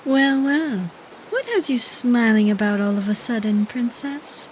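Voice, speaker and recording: A woman's voice: a little high, lively intonation, measured pace, a little mellow, a little hiss or hum, rough recording. A woman playing a playful, teasing young female companion in a fantasy audio drama, with a breezy manner. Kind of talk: fan audiodrama acting.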